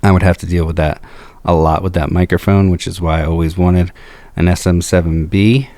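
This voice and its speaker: A man with a low voice talking through a microphone demo in a home studio, slow and measured with frequent fillers. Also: steady tone, mellow, dry recording. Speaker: video host. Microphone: close to a studio microphone.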